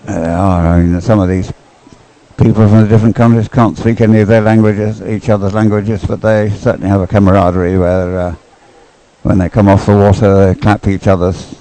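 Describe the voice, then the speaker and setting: A man's voice: lowish voice, flat delivery, a little fast, warm tone, poor audio. A man giving live sports commentary in a hesitant, conversational, loosely phrased way. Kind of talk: live commentary on dragon boat racing.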